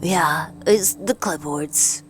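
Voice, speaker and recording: A woman's voice: low-pitched, slow pace, slight background noise. A woman voicing an anxious, earnest young boy hero from a mystery game, with a hesitant stammer. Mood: tense, resolute.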